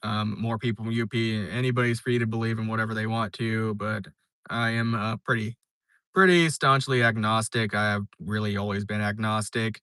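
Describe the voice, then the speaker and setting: Laid-back young male streamer, bright crisp voice. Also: fairly low pitch, steady tone, normal speed, no room sound. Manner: laid-back, rambling, many fillers and restarts. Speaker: live streamer. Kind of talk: casual live stream chat, reading viewer comments.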